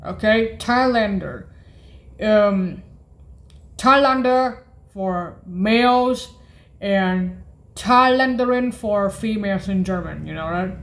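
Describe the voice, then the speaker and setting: A woman presenting a halting instructional demonstration, hesitant and often correcting and repeating herself. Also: mid-range voice, a bit bright. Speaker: music practice presenter.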